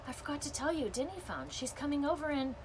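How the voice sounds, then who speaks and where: A woman's voice: high voice, lively intonation, fast talker, noisy background, pitched above the performer's own voice. A woman voicing an eager, chatty girl amateur detective from a children's storybook, casual, slangy and earnest. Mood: excited.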